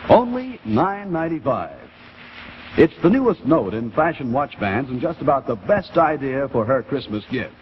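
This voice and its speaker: A middle-aged American man giving a polished, deliberate scripted sales pitch as a 1950s television announcer. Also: sing-song, lightly acted. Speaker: commercial announcer. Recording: muffled 1950s television broadcast with faint noise.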